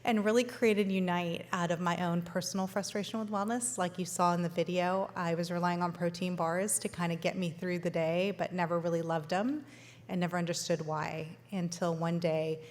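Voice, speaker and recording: A woman's voice: on the low side, fairly even intonation, normal speed. A woman who grew up in Los Angeles gives an informal, conversational keynote as a founder. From Los Angeles, born in Sudan. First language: not English, learned it at five. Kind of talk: informal keynote talk to an audience. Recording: echoey hall, long reverb.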